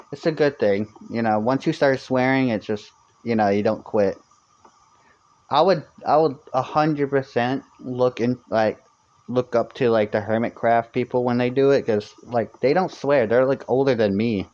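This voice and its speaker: Rambling young man, lo-fi stream audio. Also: a little mellow. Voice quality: fairly high-pitched for a man. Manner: rambling, many fillers and restarts. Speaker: live streamer. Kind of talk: casual live-stream chat.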